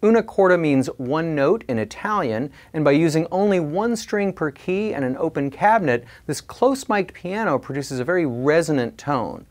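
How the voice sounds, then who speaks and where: A presenter delivering a scripted, explanatory product demo in a measured way. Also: mid-range voice, expressive, darkish tone.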